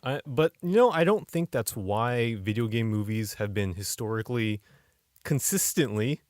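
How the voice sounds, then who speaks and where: Low-voiced man in casual podcast talk. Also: animated, moderate pace, a little mellow, dry recording, clean studio sound. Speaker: podcast co-host.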